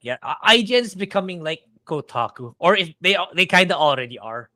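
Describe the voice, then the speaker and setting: A man's voice: on the high side, big pitch swings, a little fast, sharp tone, no echo, studio quality. A man guest on a casual podcast, opinionated, with hesitant starts.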